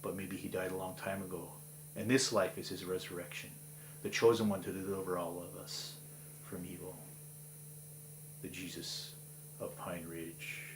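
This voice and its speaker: A middle-aged man, a poet, reading his verse dramatically in an indoor studio, swinging from loud passages to whispers. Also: deep voice, flat delivery, moderate pace, long pauses, noisy background. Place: indoor studio with dark backdrop. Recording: roomy studio echo.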